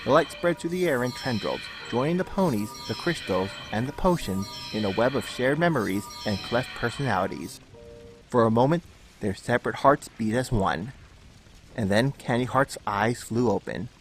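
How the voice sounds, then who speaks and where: A man narrates a dramatic story from a script. His mid-pitched voice carries the prose, with faint music playing underneath.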